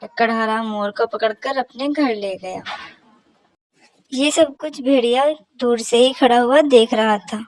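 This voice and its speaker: Lady narrator reading a kids animal story, highish voice, dry sound. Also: unhurried, no echo, low-quality recording. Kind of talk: scripted narration of children's animal fable.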